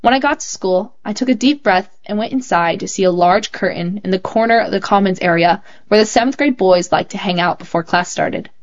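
A woman reading a child's essay aloud as scripted narration, in a clear and measured storytelling manner. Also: medium pitch, highs cut off. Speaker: host reading children's stories.

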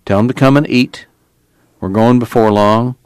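A man's voice: mid-range voice, fairly even intonation, moderate pace, a little mellow, clear, resonant tone, no room sound, close to an everyday voice. Man doing a worried farm father. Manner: urgent, pleading, folksy rural speech.

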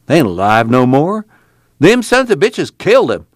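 A man's voice: on the high side, wildly swooping pitch, normal speed, dry recording, clean studio sound, voice pushed up. A man voicing a lonely, bitter older farmer who talks in a raw, pleading way that rises to anger.

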